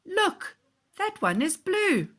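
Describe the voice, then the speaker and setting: A woman acts out a curious young boy from a storybook, pitched well up and swooping with excitement. He is polite, earnest and full of wonder. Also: slow, exaggerated voice. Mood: amazed. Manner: wide-eyed, earnest, polite.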